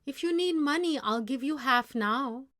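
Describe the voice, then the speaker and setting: Storybook boss read by a woman: exclaiming, blunt-tempered man with a fair streak, slow measured pace. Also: high voice, animated, fairly bright tone, pitched above the performer's own voice. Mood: calmer, fair. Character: upset boss, a man, shocked, angry, then fair. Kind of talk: storybook character dialogue.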